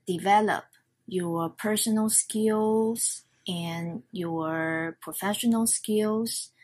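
Halting, husky-voiced Taiwanese-accented woman. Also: lowish voice. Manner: halting, searching for words, self-correcting. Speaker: language learner sharing her experience. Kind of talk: personal monologue, prepared talk delivered informally.

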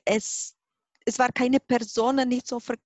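A middle-aged woman from the Czech Republic speaks with a Czech accent in a fairly high voice. She tells her own story in an interview, halting to find words and then stressing them. The sound is dry, with hardly any room on it. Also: flat delivery, darkish tone, no echo. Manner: halting, searching for words, emphatic. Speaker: interview guest, hypnosis and regression therapist. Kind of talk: spontaneous personal account in an interview.

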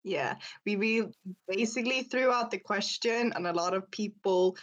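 A woman's voice: medium pitch, normal speed, highs cut off. A seventeen-year-old woman from Sweden whose first language is Swedish, co-hosting a casual podcast while sniffling with a cold. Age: teens. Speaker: podcast co-host. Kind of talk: casual chat.

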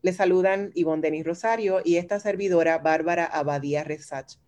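Low-voiced Puerto Rican radio host woman. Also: brisk. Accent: Puerto Rican Spanish. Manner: warm, conversational, frequent tag questions. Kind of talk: radio interview.

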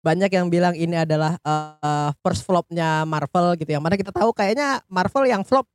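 A man's voice: sits high, moderate pace. A man with a colloquial Jakarta Indonesian accent hosts a casual film discussion in joking, informal slang. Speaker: podcast host.

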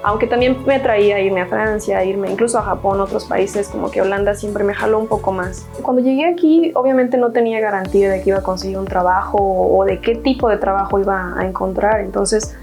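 A young woman with a Mexican accent tells her own story in an earnest, chatty way, stumbling and restarting as she goes. Her voice sits at a middle pitch. Soft music plays faintly underneath. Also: fairly quick, hardly any pauses. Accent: Mexican Spanish. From Mexico, Hidalgo state. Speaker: university graduate interviewee. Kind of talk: personal testimony.

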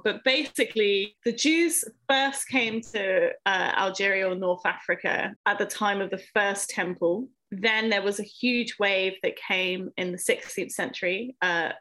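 A woman's voice: medium pitch, bright, forceful tone, low-quality recording. A young woman from England, a podcast guest, talking casually with many ums and self-corrections as she thinks aloud. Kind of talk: casual interview conversation.

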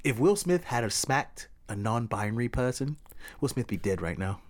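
A man hosting a casual podcast chat, his voice rising and falling widely as he talks. He is animated and full of slang, exclaiming as he goes. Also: mid-range voice, moderate pace. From Los Angeles area.

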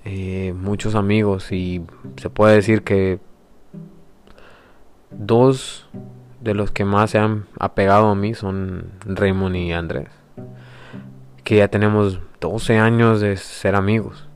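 A man's voice: lowish voice, level tone. A man, introducing himself as a podcast host in a reflective, hesitant way. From El Salvador. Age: 20s. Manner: reflective, hesitant, with pauses and restarts. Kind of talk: personal introduction monologue. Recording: faint guitar music behind.

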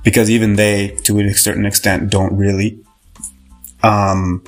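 A man's voice: fairly low pitch, level tone, measured pace, faint music behind. A young man from Canada, a podcast guest, talking casually with lots of fillers and restarts. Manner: rambling, many fillers and restarts. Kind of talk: casual interview talk.

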